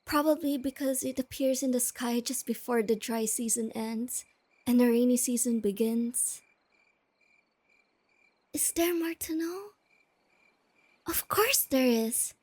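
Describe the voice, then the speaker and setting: A woman playing a shy, nerdy, sweet young girlfriend in a soft-spoken, intimate ASMR roleplay. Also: sits high, no room sound. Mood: shy, excited. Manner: gentle, intimate, enthusiastic about explaining facts.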